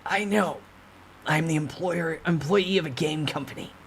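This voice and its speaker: Man putting on a high-voiced cheeky young man, noisy recording. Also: moderate pace, a bit bright. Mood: bluffing, smooth. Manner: casual, impudent. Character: cheeky, defiant young male office worker, quick-witted bluffer. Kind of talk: dramatic novel reading.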